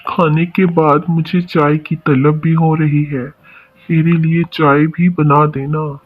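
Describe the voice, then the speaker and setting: A woman's voice: low voice, little pitch movement, slow pace, slightly rough, voice pushed down, exaggerated voice. A woman voicing an adult male bird, a demanding husband and father in a children's cartoon story. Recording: muffled lo-fi audio.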